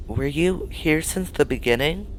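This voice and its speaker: An actor playing a timid, deferential young research assistant in an audio drama, hesitant and politely inquisitive. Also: male voice, fairly high pitch, a little slow, slight background noise, natural voice, rough recording.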